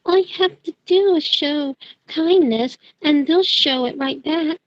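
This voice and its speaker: Sweet, earnest schoolgirl witch played by a woman, high voice, principled and caring, dry slightly lo-fi audio drama sound. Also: measured pace, dry recording. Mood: sweet, affectionate. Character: kind, sweet, principled teenage witch girl. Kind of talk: fan audio drama acting.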